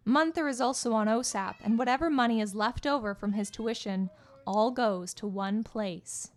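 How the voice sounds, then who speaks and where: Higher-voiced woman news reporter. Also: normal speed. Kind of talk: scripted news narration. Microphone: voice-over.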